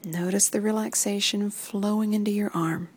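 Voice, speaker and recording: A woman reading a guided muscle relaxation script in soft, even instructions that often sink into a whisper. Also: medium pitch, moderate pace, slightly rough.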